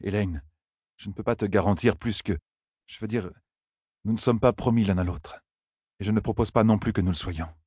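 Man playing a shy, husky, hesitant young shepherd. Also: fairly low pitch, moderate pace, long pauses, dry recording, clean studio sound. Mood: flustered, hesitant. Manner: hesitant, fumbling, quiet, breaking off mid-sentence.

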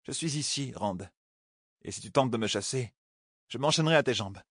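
A man's voice: mid-range voice, animated, moderate pace, fairly bright tone, no room sound, voice pushed up. Fantasy audiobook role: stubborn, outspoken young woman in love, defiant and teasing, dry recording male narrator performing. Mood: frank, tender. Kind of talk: audiobook character dialogue.

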